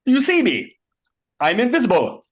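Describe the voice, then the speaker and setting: A man doing a shrill boastful kung fu master. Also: wildly swooping pitch, moderate pace, husky, heavily acted. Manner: broken exaggerated high voice. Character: male kung fu master with heavy put-on accent.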